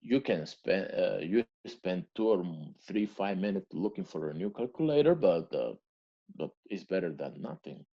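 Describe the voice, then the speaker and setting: A man from Venezuela whose first language is Spanish speaks as a guest in a casual interview. He has a very low, mellow voice and speaks halting English, restarting and repeating words. The audio sounds slightly dull and lo-fi. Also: animated.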